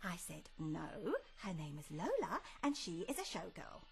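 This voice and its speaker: A woman's voice: on the low side, big pitch swings, moderate pace, gravelly, a little mellow. A woman acting in a comedy radio play as a pushy, theatrical, starstruck middle-aged mother of a showgirl. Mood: insistent, proud.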